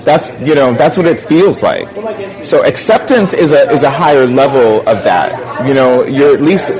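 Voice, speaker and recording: A man's voice: medium pitch, animated, moderate pace, poor audio. A man speaking casually and candidly in a group debate, often restarting and circling back to his point. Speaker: participant in discussion. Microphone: remote app call.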